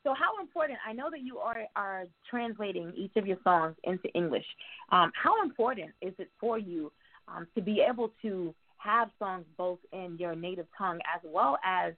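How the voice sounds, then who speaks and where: A woman hosting a relaxed interview, talking in a chatty, playful way and laughing easily. Her voice sits in a middle pitch and comes through a narrow, phone-like line. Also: normal speed. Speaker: interview host. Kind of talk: casual interview over a call.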